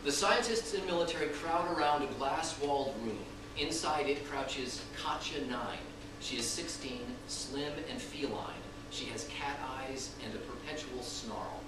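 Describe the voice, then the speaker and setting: A man's voice: mid-range voice, measured pace. A man narrating the stage directions of a script at a live reading, in scripted present-tense prose. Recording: echoey room, distant mic, noisy.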